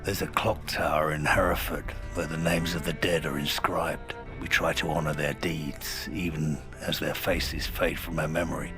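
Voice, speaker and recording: A grim, battle-worn British veteran. His voice sits deep and gravelly, and he speaks in terse, brooding lines out for revenge. Dramatic music plays behind him. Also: flat delivery, moderate pace, hardly any pauses. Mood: grieving, grim. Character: grim, vengeful veteran British soldier, older man. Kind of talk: dramatic video game acting.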